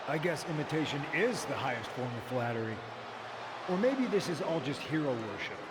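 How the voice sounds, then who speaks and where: A man's voice: mid-range voice, very animated, fairly quick, over a noisy crowd, natural voice. A man playing a cocky, menacing rebel wrestler giving a dry, taunting promo in a video game. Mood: disdainful. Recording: very noisy arena ambience.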